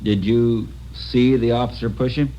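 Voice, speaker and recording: An actor playing a dry, official coroner questioning in a formal, impersonal way in a 1950s radio drama. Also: male voice, medium pitch, fairly even intonation, a little slow, warm tone, natural voice. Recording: muffled, noisy old radio recording.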